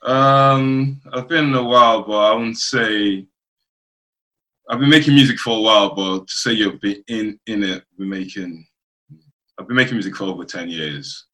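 A man from Nigeria chatting casually as an interview guest, often halting and rephrasing as he goes. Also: on the low side, bright, forceful tone, low-quality recording. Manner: halting, circling back to rephrase. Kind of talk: casual chat. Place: remote video call.

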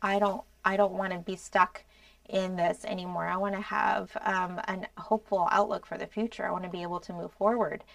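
A woman co-hosting a podcast, explaining earnestly in a casual way, with many pauses and trailing phrases. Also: mid-range voice, flat delivery, gravelly, a bit bright, dry recording, distant mic. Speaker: podcast co-host.

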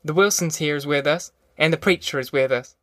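A man's voice: a little high, a little fast, fairly bright tone, no room sound, clean studio sound, close to an everyday voice. A man voicing a fierce, stubborn middle-aged rural mother with a rural Southern American accent, in an audiobook. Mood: resolute. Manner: folksy dialect, defiant, threatening. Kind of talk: audiobook character acting.

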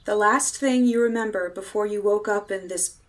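A woman's voice: mid-range voice, moderate pace, lightly acted. An actress playing a despairing, fearful woman stranded in a nightmare world, in dramatic old-time radio horror style. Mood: bleak, haunted. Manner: dramatic, anguished, haunted.

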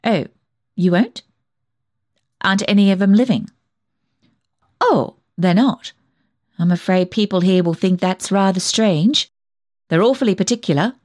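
A woman's voice: mid-range voice, measured pace, long pauses, a little mellow, no room sound, clean studio sound, natural voice. A woman performing a comic monologue as a nosy, tactless village dressmaker who chats on with prying, backhanded remarks. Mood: prying, insinuating. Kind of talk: dramatic monologue reading, comic character storytelling.

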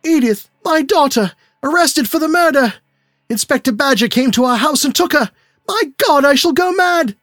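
A man's voice: extremely high pitch, lively intonation, normal speed, a bit bright, no room sound, clean studio sound, voice pushed up. A man doing a frantic, gasping father. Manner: gasping, desperate outbursts. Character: frantic middle-aged father in panic.

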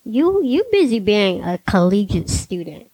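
A woman's voice: medium pitch, very animated, warm tone. A young woman hosting a casual podcast chat, playful and teasing. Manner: playful, teasing, quick reactions. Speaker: podcast host.

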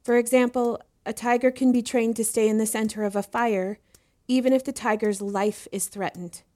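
A woman acts as a young female student in a podcast drama. Her voice is fairly high, and she speaks earnestly and inquisitively, eager to learn and deferential to whoever is teaching her. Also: steady tone, normal speed, a little mellow, close to an everyday voice. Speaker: voice actor in a fiction podcast. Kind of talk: acted audio drama dialogue.

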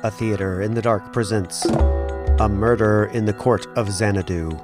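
A man announcing the show and reading credits in a measured, formal way. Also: on the low side, fairly even intonation. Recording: with music underneath.

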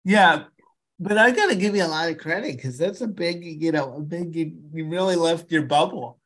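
A man's voice: fairly high pitch, moderate pace, a bit bright. A man hosting a podcast interview, encouraging and repetitive, full of "like" and "you know". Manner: encouraging, repeats himself, lots of fillers. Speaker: podcast host. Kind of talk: casual interview chat.

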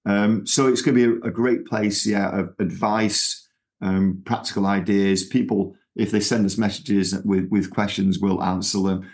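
A man's voice: lowish voice, fairly even intonation, moderate pace, a little mellow. A middle-aged man from England with a Manchester accent, talking as a guest in an interview, rambling with lots of fillers and restarts. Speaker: ministry founder, guest. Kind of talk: conversational interview.

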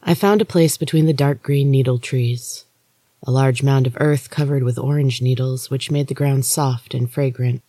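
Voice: medium pitch, fairly even intonation, normal speed, dark tone, no echo. A podcast reader narrating a first-person story from a script. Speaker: audiobook reader, podcast host.

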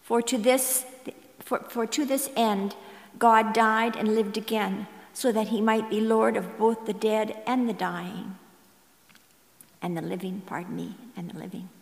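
A woman reading scripture aloud to a congregation in a slightly halting way, recorded in a reverberant church. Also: medium pitch, fairly even intonation, gravelly. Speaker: scripture reader at a church service. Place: large indoor church space. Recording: echoey, reverberant church hall.

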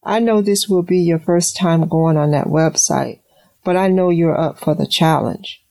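A woman's voice: deep voice, measured pace, vocal fry, darkish tone, dry recording. A woman playing a composed mother, dry sound. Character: caring, composed mother of a student son. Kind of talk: audio drama dialogue.

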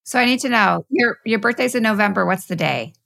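A woman's voice: medium pitch, fairly quick, creaky, sharp tone. A woman hosting an interview, asking easygoing questions in casual conversation. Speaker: host.

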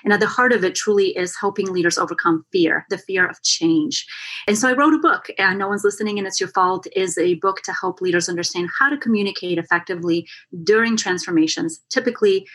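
A woman's voice: mid-range voice, fairly quick, fairly bright tone. A woman of about forty, originally from Bulgaria and raised partly in America, giving earnest, articulate explanations as an interview guest on a remote call. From born in Bulgaria, in America from age twelve. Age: late 30s to early 40s. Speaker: interview guest, consultant and author. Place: remote call over a screen.

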